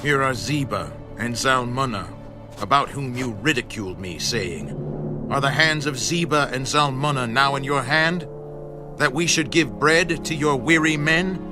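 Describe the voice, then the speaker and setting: A man's voice: medium pitch, measured pace, close to an everyday voice. Stern ancient war leader played by a man over music. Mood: threatening. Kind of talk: dramatized scripture acting. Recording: loud background music.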